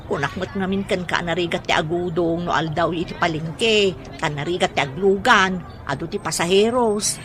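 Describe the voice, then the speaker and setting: A woman's voice: lowish voice, normal speed, close to an everyday voice. A woman with an Ilocano Filipino accent playing a nagging, unimpressed village wife in a comedic radio drama. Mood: complaining. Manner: complaining, critical.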